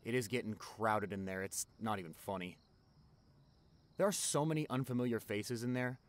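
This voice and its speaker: A man's voice: lowish voice, normal speed, lightly acted. A young man playing a caring, chatty college best friend in an ASMR roleplay, talking casually and directly to the listener. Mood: casual, relaxed.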